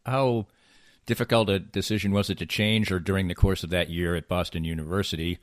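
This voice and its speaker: Male podcast host, very deep voice, measured questions, dry close sound. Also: fairly even intonation, dry recording. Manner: measured, asks long probing questions. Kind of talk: interviewing.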